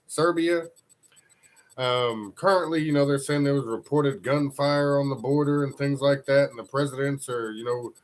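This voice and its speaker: A congested man hosting a casual live news stream, rambling loosely and greeting viewers from the chat. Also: medium pitch. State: congested from a cold.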